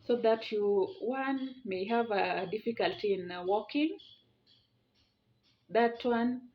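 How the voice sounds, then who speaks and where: A woman presenting an informal explainer, talking haltingly and repeating phrases for emphasis. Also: a little high, slight background noise. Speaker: video presenter. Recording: dull lo-fi audio.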